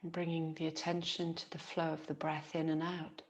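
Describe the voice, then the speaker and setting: A woman, teaching yoga nidra as a guided relaxation in a soothing, gentle manner with long pauses. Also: low voice, completely flat delivery.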